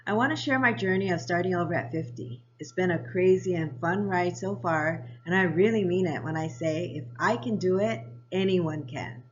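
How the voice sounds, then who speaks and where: A woman of around 60, raised in Japan and Hawaii, narrating her own life story for a vlog in a measured, read-aloud way. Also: on the low side, highs cut off. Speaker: vlogger. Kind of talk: scripted personal narration.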